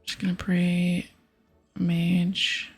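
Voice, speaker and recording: A woman giving casual, gentle ASMR commentary on a video game in a soft, breathy, often whispered voice. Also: lowish voice, monotone, extremely slow. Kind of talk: ASMR game commentary, reading dialogue aloud. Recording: soft music behind. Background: music, keyboard clicks.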